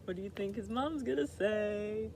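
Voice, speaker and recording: A woman's voice: medium pitch, moderate pace, warm tone, slight background noise. A woman chatting casually as a storyteller reading to children, putting questions to her listeners. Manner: conversational, asks listeners questions. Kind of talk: casual interactive read-aloud chat.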